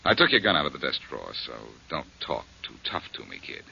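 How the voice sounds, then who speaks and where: A man's voice: extremely low pitch, wildly swooping pitch, fast talker, vocal fry, bright, forceful tone, slightly rough, heavily acted. An actor playing a tough, sardonic male detective who threatens and wisecracks, in 1940s radio drama style. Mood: menacing. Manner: menacing, sardonic. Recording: dull old radio broadcast.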